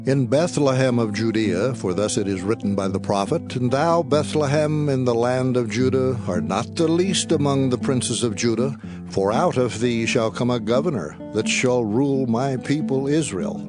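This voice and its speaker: A man's voice: medium pitch, normal speed, natural voice. A man playing a formal priestly scholar who declaims prophecy in a scripture drama. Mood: assured, solemn. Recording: guitar music behind the voice. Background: guitar music.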